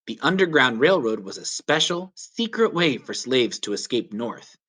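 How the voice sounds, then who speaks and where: A man reading a children's story aloud as a teacher would, with clear, deliberate, emphatic phrasing. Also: medium pitch, sing-song, fairly bright tone. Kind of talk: children's read-aloud narration.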